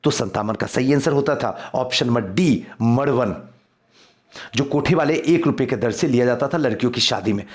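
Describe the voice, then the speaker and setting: A man's voice: medium pitch, moderate pace. A man teaching an exam quiz lesson, reading out questions and repeating key answers for emphasis. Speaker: history teacher for civil service exam coaching.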